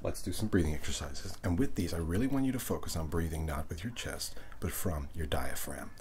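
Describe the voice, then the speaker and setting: A man with an extremely low voice murmurs softly and closely, as in an ASMR session. His delivery is gentle, unhurried and breathy, often dropping into a whisper, with a little ambient noise behind him. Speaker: ASMR reiki session host. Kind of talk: ASMR guided relaxation, speaking softly to the listener.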